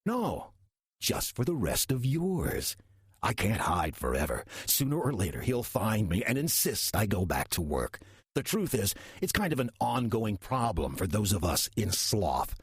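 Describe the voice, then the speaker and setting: A sly old demon in an audio drama , acted by a man, with a badly rasping, low voice. He is wry and unhurried, and his pitch swoops theatrically as he toys with his listener. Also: big pitch swings, dry recording, clean studio sound, heavily acted. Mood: sly, amused. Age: older. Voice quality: gravelly, husky. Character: wry, lazy old male demon posing as churchgoer.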